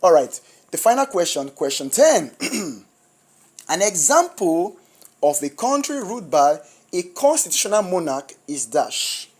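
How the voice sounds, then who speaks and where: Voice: medium pitch, very animated, measured pace. A tutor with a Nigerian accent walks through exam questions one at a time. The tutor has a flu and a sore throat, reads each answer option aloud and then explains the right one step by step. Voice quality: husky.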